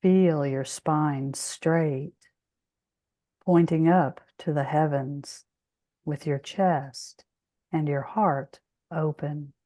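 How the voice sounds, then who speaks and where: Female meditation guide, soothing and very unhurried, mellow tone, close dry sound. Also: sits low, steady tone, dry recording. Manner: soothing, measured, with long pauses.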